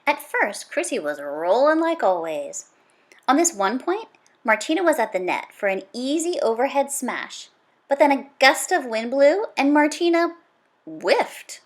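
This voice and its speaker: A woman with a fairly high, highly animated voice reads a children's book aloud. Her delivery is dramatic and emphatic, swinging up and down in pitch and leaning hard on key words for effect. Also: measured pace. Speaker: storybook read-aloud reader. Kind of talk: expressive read-aloud narration of a children's nonfiction book.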